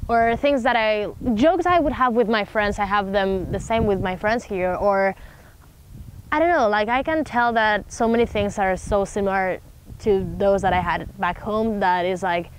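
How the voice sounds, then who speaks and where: A woman's voice: mid-range voice, normal speed, slight background noise, rough recording. A teenage girl from Spain with a Spanish accent, a native Spanish speaker giving a documentary interview in a chatty way, full of "like" and "I don't know". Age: teens. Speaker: student interviewee.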